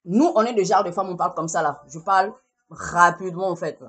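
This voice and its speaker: A woman's voice: lowish voice, a little fast, a bit bright, husky. A woman, delivering a heated, scolding social media rant and repeating herself to drive points home. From Congo.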